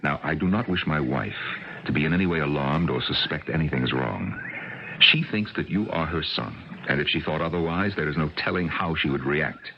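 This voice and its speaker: Stern American father and scientist, radio drama. Gravelly, very deep, formal and probing, on a faintly noisy old tape. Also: dull audio. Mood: stern confrontation. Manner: measured, formal, deliberate. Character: stern suspicious scientist father, middle-aged, controlled and probing. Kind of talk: 1980 radio drama acting.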